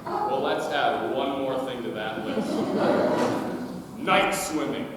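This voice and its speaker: A man's voice: mid-range voice, takes it slowly, close to an everyday voice. A young man playing a pompous adult explorer who hands out advice in a theatrical stage comedy manner. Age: teenage or young adult. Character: pompous grown male explorer dispensing advice. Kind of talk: high school stage comedy acting. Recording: very noisy echoey hall from far off.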